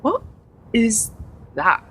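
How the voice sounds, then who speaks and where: Woman doing a snarky teen girl in a radio drama, voice swings around a lot, bit of rumbly noise behind. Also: wildly swooping pitch, drawn-out words, pushed and bright, exaggerated voice. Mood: sceptical, puzzled. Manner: sardonic, dismissive. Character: sarcastic, sceptical, bored teenage granddaughter about to leave for university. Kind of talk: radio play acting.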